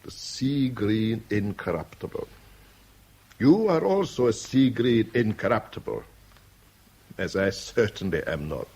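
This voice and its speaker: A man's voice: on the low side, very animated, measured pace, darkish tone, breathy edge, heavily acted. An actor playing an urbane, wily older man in a radio drama, speaking in a suave, theatrical and patronising way. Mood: urbane, confiding.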